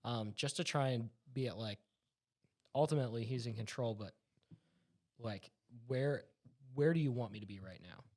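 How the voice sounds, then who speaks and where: Tentative man, mid-pitched, searching for words. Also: moderate pace, long pauses, darkish tone. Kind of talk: casual chat about faith.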